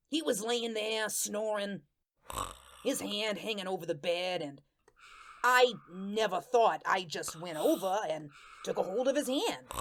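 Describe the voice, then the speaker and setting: A woman playing a chatty, aggrieved ghost of a murder victim, telling the story in slangy, conversational radio drama style. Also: mid-range voice. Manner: colloquial, ungrammatical, conversational storytelling. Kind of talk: first-person radio drama narration with acted dialogue.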